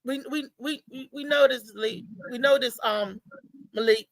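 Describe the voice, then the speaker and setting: High-voiced woman hosting a casual talk show. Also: no room sound, poor audio. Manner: halting, repeats words, conversational. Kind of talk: casual live show commentary.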